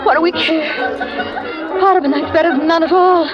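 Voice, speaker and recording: A devoted, fatalistic young woman in a vintage radio thriller. Her voice is high, and the dull old recording carries music and crowd noise behind her. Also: steady tone, fairly quick. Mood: faint, resigned. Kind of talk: 1940s radio drama acting.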